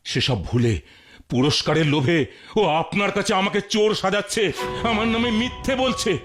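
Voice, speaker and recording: A man's voice: sits high, moderate pace, close to an everyday voice. A man voicing a humble, honest young hunter in a cartoon, talking respectfully and pleading. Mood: calm. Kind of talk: cartoon voice acting. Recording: music playing behind.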